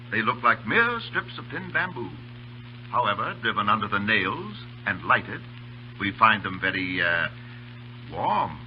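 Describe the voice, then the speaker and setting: Suave, menacing khan, old radio villain. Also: male voice, on the low side. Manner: courtly, mock-polite, deliberate, menacing. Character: suave, menacing Indian khan who captures and tortures officers. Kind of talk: 1930s radio drama acting. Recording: dull, noisy old radio broadcast with hum.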